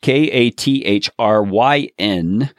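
Podcast host guy, lowish voice, eager, goes on explaining, dry close sound. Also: slow pace, no room sound. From United States. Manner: enthusiastic, wraps up with long explanations. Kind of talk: podcast interview.

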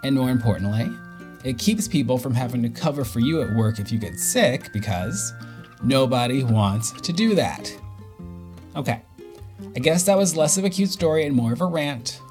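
A man's voice: mid-range voice, lively intonation, normal speed, a little mellow, faint music behind. Man hosting a casual podcast chat. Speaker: podcast host. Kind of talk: casual conversational interview.

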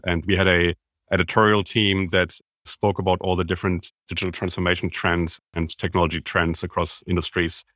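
A man's voice: low voice, bright, forceful tone, no echo, studio quality. A man from Germany with a German accent, a podcast guest talking at length and with enthusiasm about his career. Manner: enthusiastic, self-described business nerd, explains at length. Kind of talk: conversational interview.

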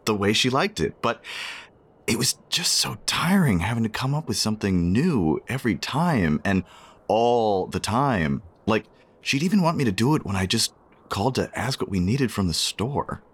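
A man's voice: lowish voice, very animated, husky, full character voice. An actor playing a shy, self-conscious man in a romantic radio play, speaking hesitantly. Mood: flustered, exasperated. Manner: hesitant, halting, with sheepish chuckles. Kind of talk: naturalistic radio play dialogue.

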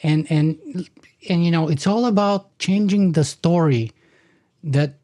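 A man in his forties lays out his argument like a lecture, often restarting his sentences. His voice sits a little high and sounds mellow. It is recorded dry, with no room echo. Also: unhurried. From Bulgaria. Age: 40s. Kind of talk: conversational podcast discussion.

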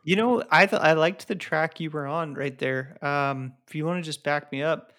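A man's voice: a little high, normal speed, a bit bright. A man co-hosting a casual podcast, chatting in a laid-back, slangy way. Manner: slangy and laid back, says dude and bro. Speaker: podcast co-host.

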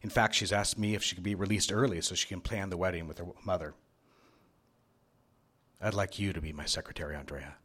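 An actor playing a smooth, patronizing boss who flirts with his secretary, authoritative and teasing, in a live radio drama. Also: low-pitched, steady tone, fairly quick, pauses often, a bit bright. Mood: smooth, inviting. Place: theater stage, live show.

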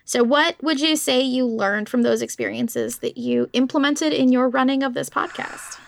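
A woman's voice: sits high, very animated, moderate pace, gravelly, dry recording. A woman hosting a podcast interview in a casual, giggly, informal way.

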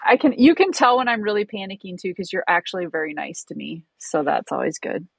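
A woman's voice: on the low side, brisk, creaky, slightly rough, dry recording. A woman co-hosting a podcast, chatting casually and rambling, often restarting and laughing at her own nerves. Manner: self-deprecating, rambling with restarts. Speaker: podcast co-host. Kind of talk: casual chat.

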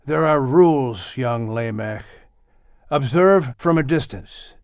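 A male narrator plays a grave old patriarch from a biblical audiobook. He speaks slowly and gently, with sorrow and care, in a close, dry recording. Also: medium pitch, lively intonation, smooth, no echo. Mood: cautioning. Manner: grave, deliberate, measured. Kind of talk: audiobook character acting.

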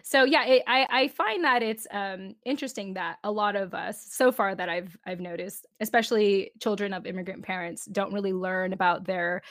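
A woman hosting a podcast, chatty and friendly, repeating words and filling pauses with laughs and ums. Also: medium pitch, a bit bright, dry recording, studio quality. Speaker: podcast host.

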